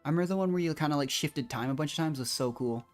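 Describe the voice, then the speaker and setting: A woman's voice: sits low, very fast, faint music behind. A woman doing casual livestream gameplay commentary, musing and thinking aloud as she goes. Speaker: game streamer.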